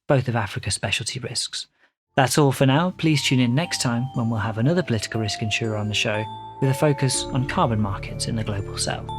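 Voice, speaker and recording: A male podcast host in a mid-pitched voice, delivering a polite, scripted closing. Faint music plays quietly behind him. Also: husky. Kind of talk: podcast interview and outro.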